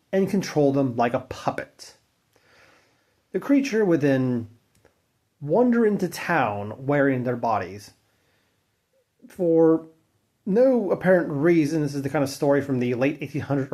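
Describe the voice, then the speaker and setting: A man's voice: fairly high pitch, darkish tone, husky. A man narrating an old legend in a casual, offhand way, wry and a bit profane, with fillers and loose restarts. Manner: wry and offhand, with mild swearing. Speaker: narrator and channel host. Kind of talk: casual spoken folklore narration.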